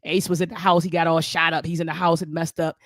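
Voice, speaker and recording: A man hosting a live online talk show, speaking with a deep, raspy voice that is hoarse from a sore throat, in a folksy way that calls the viewers family. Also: flat delivery, fast talker, fairly bright tone, no echo, studio quality. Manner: folksy, addresses the audience as family. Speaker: host. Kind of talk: casual live-stream chat.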